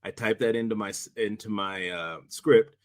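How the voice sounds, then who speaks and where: A man chatting casually about directing, speaking in a halting, rambling way and often repeating himself. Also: lowish voice, no room sound, clean studio sound. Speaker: director and podcast host. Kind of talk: casual behind-the-scenes chat.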